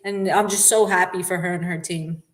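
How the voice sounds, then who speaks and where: A young woman from New York with a New York accent, a boxer chatting candidly in an interview, relaxed and quick to laugh. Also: lowish voice. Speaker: professional boxer. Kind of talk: casual interview.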